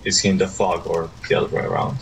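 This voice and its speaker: A man's voice: low-pitched, flat delivery, fast talker, creaky, a crowd in the background, rough recording. A tired man playing a game with a friend for the first time, hesitant and stammering as he asks questions. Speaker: co-op partner, new to the game. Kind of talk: casual gaming chat.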